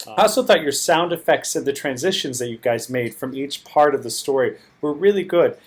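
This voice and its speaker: A man with a medium-pitched voice gives off-the-cuff feedback as a competition judge. He is a native English speaker, encouraging but hesitant, circling through his points in a rambling way. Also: lively intonation, a little fast. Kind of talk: unscripted spoken feedback.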